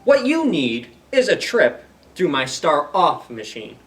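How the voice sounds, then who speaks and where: A man voicing a sly, smooth-talking salesman swindler from a storybook, with wheedling sales patter. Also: a little high, huge pitch swings, a little slow, a bit bright, far from the mic, full character voice. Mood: persuasive. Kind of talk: animated storybook reading.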